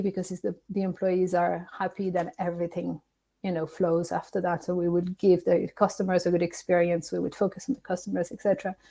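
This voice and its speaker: A woman whose first language is not English speaks on a webinar panel in a low, mellow voice. She talks haltingly, restarting her sentences often as she searches for words. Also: gravelly. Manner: halting, with many restarts and fillers.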